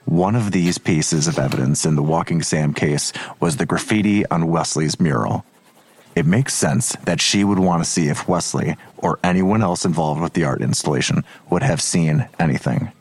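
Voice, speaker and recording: An actor playing a weary, sardonic police detective in an audio drama, with a dry, blunt, skeptical delivery. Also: male voice, low voice, moderate pace, breathy edge, no room sound. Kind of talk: naturalistic audio drama acting.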